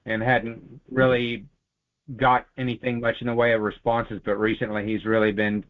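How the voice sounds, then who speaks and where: A man's voice: on the low side, flat delivery, normal speed. A man chatting casually on a conference call. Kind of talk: casual chat. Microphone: telephone conference call. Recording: thin phone-line conference call audio.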